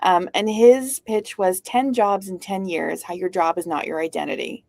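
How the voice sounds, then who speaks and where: A woman's voice: on the low side, normal speed, creaky, darkish tone, dry recording. A middle-aged woman from Canada, a company founder chatting casually in an interview over a video call, witty and storytelling. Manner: witty, storytelling, uses "like" often. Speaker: guest speaker, founder.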